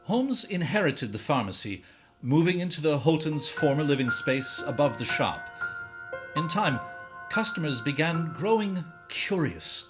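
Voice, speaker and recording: A man playing a theatrical storyteller, delivering dramatic scripted narration of a dark tale in a musical. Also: on the high side, big pitch swings, unhurried, music in the background. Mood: ominous, knowing.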